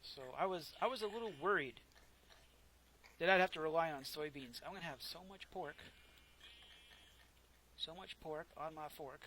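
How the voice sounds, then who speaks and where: Quiet, rambling man narrating gameplay. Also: fairly high pitch, moderate pace, long pauses, a little hiss or hum. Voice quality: soft-spoken, quiet voice. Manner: rambling, self-correcting, chatty. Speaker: gameplay commentator. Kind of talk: casual let's play commentary. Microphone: close desk microphone.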